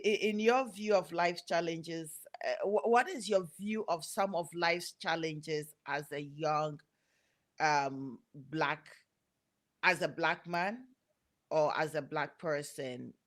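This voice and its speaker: A woman who immigrated to Canada, hosting a podcast interview, halting and restarting as she talks. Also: low-pitched. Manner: slow, halting, stammers on restarts. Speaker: podcast host. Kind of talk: interview conversation.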